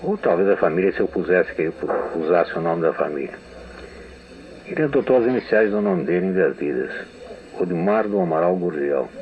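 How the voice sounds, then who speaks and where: A man's voice: low voice, lively intonation, brisk. An older man from Brazil with a Brazilian Portuguese accent, telling memories in a meandering radio interview and often restarting sentences. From São Paulo state, Brazil. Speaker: interviewed writer. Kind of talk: archival radio testimony. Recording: narrow, phone-like old radio archive.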